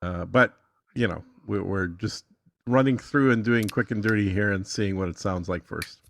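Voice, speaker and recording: A man's voice: on the low side, normal speed, gravelly, a little hiss or hum. A man talking casually as a guest giving a tutorial, thinking aloud in a matter-of-fact way.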